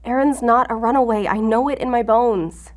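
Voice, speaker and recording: An actress playing a guarded, defiant law-enforcement agent in an audio drama, with a sarcastic, defensive way of talking. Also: female voice, on the high side, steady tone, normal speed, close to an everyday voice. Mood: determined.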